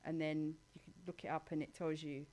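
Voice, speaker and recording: A woman's voice: deep voice, level tone, fairly quick, a little mellow, a little hiss or hum, low-quality recording. A woman with a London accent in her thirties, chatting informally and using slang as a guest in a casual interview. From East London, England. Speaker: guest on a talk show.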